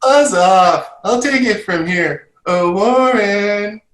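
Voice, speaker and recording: An annoying, smitten sitcom neighbor girl, played by a woman, gushing and bossy. She draws her words out slowly in an echoey room. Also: mid-range voice, close to an everyday voice. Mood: pushy, swooning. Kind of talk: amateur table read of a TV sitcom. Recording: echoey room over online call.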